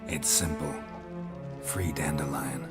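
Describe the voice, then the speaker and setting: A man playing a stoic, terse monster hunter in a video game, with a clipped, deadpan delivery. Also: a bass voice, takes it slowly, over loud music, exaggerated voice. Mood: flat, guarded. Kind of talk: video game character acting.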